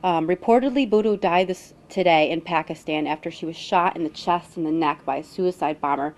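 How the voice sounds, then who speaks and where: A woman's voice: on the low side, brisk, darkish tone. An American woman hosting a news webcast, interviewing a guest about the news from a newsroom. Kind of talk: news interview with scripted intro.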